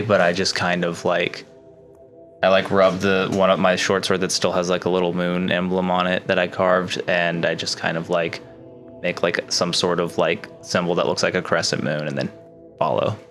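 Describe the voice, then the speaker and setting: A man playing a tabletop role-playing game, talking casually and somewhat haltingly as he works out his moves. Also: low voice, a bit bright.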